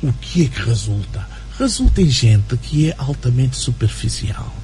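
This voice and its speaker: A writer and journalist as a radio interview guest, talking in an animated way with many fillers and tag questions. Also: male voice, mid-range voice, normal speed, faint music behind. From Angola. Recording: radio broadcast recording.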